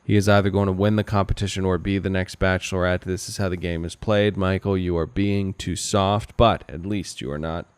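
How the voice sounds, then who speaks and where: A man with a fairly low, smooth voice recapping television as a podcast co-host. His delivery is casual and mocking, and the sound is tight and dry with no room around it. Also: level tone, fairly quick, hardly any pauses, no room sound. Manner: sarcastic, mocking. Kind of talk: casual comedic TV recap chat.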